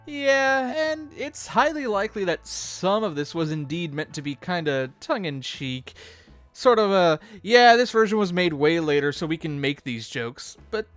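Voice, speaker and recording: A high-voiced man co-hosts an animated-episode commentary. His intonation swings widely, and he banters in a chatty, animated manner over quiet music. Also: unhurried. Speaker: co-host of fan commentary video.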